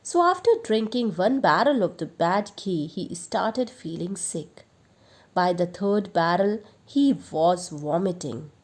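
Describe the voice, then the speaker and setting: A woman's voice: fairly low pitch, animated, takes it slowly. A woman storyteller narrating a children's bedtime story expressively.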